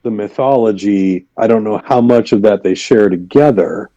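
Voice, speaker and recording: A man's voice: on the low side, normal speed, dark tone. A man co-hosting a podcast, chatting casually and thoughtfully with many fillers. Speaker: podcast co-host. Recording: dull lo-fi sound.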